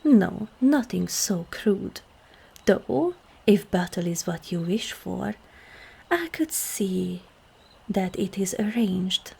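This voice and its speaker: A woman playing a seductive, regal demon queen in an ASMR roleplay, calm and commanding, with slow, formal speech that often drops into breathy whispers. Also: medium pitch, heavily acted. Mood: measured, enticing. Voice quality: breathy, husky.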